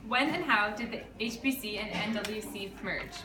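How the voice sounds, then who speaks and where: A woman reading scripted interview questions for a school project, in a soft, careful way. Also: mid-range voice, lively intonation, moderate pace, background noise. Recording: echoey room, far from the mic, music behind.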